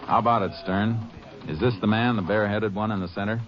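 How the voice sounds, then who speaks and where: An actor playing a steady, shrewd Texas Ranger who also narrates, terse and authoritative, in a 1950s radio crime drama. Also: male voice, fairly low pitch, fast, lightly acted. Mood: businesslike. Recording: noisy, muffled old radio broadcast.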